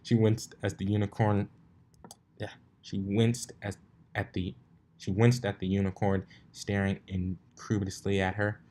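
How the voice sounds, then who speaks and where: A man's voice: on the low side, level tone, measured pace, warm tone. A man narrating fan fiction aloud, now and then stumbling and rereading phrases. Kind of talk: story narration read aloud.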